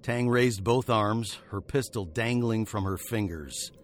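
Male actor as sardonic western fugitive hero, lower-pitched, literary radio drama narration, background ambience noise. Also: unhurried, lightly acted. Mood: grave, wry. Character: wry fugitive western outlaw hero narrating his tale.

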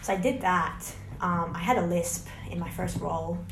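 A woman's voice: on the low side, normal speed, slight background noise. Chatty young woman. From Hungary. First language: Hungarian. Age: young adult. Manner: chatty, laughing, anecdotal storytelling. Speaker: interview guest, graduating student. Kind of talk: casual chat. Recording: echoey room.

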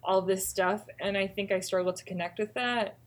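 A woman's voice: medium pitch, fairly even intonation, moderate pace, a little hiss or hum. A young woman co-hosting a podcast, giving her views on music in an informal, conversational way. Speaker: podcast co-host. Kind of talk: casual podcast chat about music.

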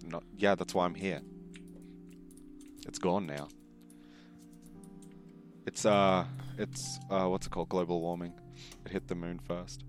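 A young man with a very low voice talks casually with a friend. He delivers absurd jokes flatly and deadpan, never cracking. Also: unhurried, pauses often, faint music behind. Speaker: podcast co-host. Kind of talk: casual banter over a game.

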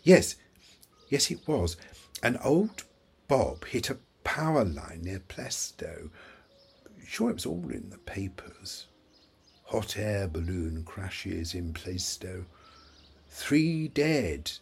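An actor playing an elderly, forgetful father in an audio play, confused and wistful, halting and searching for his words. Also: sits low, sing-song, vocal fry, darkish tone, slightly rough, full character voice. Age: older. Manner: halting, searching, repeats himself.

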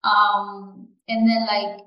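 Young female podcast co-host from the Canary Islands, chatty and filler-heavy, mid-pitched, roomy sound. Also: completely flat delivery, very slow, highs cut off. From Canary Islands, Spain. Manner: chatty, many fillers and "you know". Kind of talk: casual chat.

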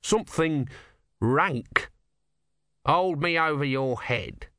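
Man playing small bossy creature, cynical, wheedling, sardonic, animated raised pitch, storybook dialogue. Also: slow pace, dry recording, clean studio sound, heavily acted. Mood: bossy, dry.

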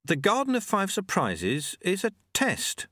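A man's voice: sits high, wildly swooping pitch, a little slow, fairly bright tone, no room sound, clean studio sound, voice pushed up, exaggerated voice. A man voicing an earnest, nervous young novice monk in an audiobook, hesitant, deferential and easily awed. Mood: earnest, respectful.

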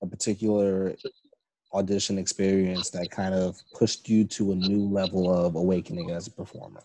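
A man's voice: sits low, level tone, normal speed, mellow, slightly rough, dry recording, low-quality recording. A man hosting an interview, asking questions with hesitant fillers. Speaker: podcast host. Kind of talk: conversational interview.

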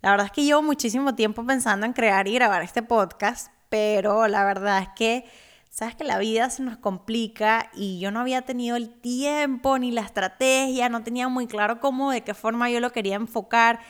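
A woman's voice: a little high, normal speed, clean tone. Upbeat woman podcast host from Cuba. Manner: upbeat, warm, personal storytelling. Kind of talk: personal finance podcast monologue.

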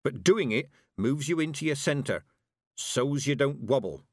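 A man's voice: mid-range voice, lively intonation, unhurried, dry recording, clean studio sound, voice pushed up. A man voicing a stern, blunt, proud old country witch woman with emphatic rustic speech, in audiobook style. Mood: firm, wry. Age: older. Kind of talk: audiobook character acting.

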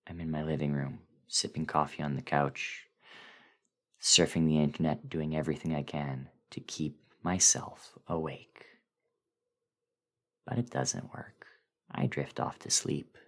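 Male narrator, very low voice, suspenseful and theatrical horror storytelling with pregnant pauses. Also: steady tone. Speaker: guest reader of a horror story. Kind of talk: dramatic first-person horror story reading.

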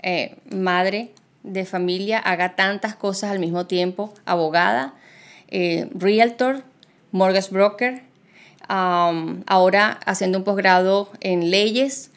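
Woman co-host, mid pitch, relaxed and halting. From Argentina, raised in Venezuela. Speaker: podcast co-host, realtor. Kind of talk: casual podcast conversation.

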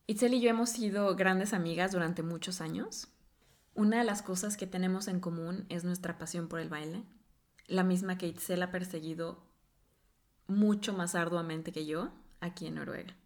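A woman's voice: lowish voice, fairly even intonation, normal speed, darkish tone. A woman hosting a podcast, asking her guest questions in a casual, curious way. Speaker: podcast host.

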